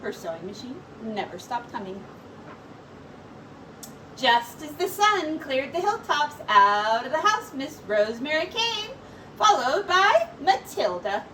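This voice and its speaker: A woman teacher reading a picture book aloud to young children in an expressive, animated storytelling manner with dramatic pauses. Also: high-pitched. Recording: distant mic, faint background noise.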